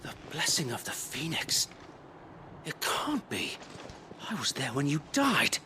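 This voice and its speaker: An actor playing a loyal, weary middle-aged knight who leads a resistance, speaking formally in a fantasy game cutscene. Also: male voice, fairly high pitch, wildly swooping pitch, measured pace, very noisy, heavily acted. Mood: stunned, wary. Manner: formal, archaic speech. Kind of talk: dramatic video game cutscene acting.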